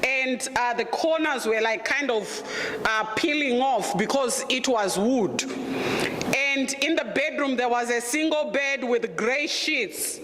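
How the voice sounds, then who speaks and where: A middle-aged woman from Zimbabwe with a Zimbabwean accent and a deep voice, telling her own story as a guest presenter in a candid, humorous and emphatic way. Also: slow pace, fairly bright tone, slight background noise. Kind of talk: personal storytelling talk. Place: lecture room. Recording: echoey room with long reverb.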